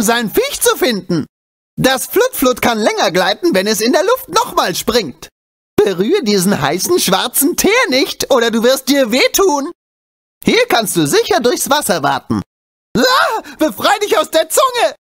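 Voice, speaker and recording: A man voicing a small, wisecracking, excitable animal sidekick in a video game, with an urgent, cheeky, exclamatory delivery. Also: very high voice, full character voice. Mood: chatty, advising, then alarmed, excited.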